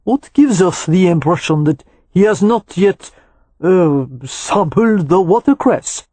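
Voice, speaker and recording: A woman's voice: lowish voice, expressive, slow pace, dark tone, no echo. A woman voicing a male chef with a French accent, superior and teasing.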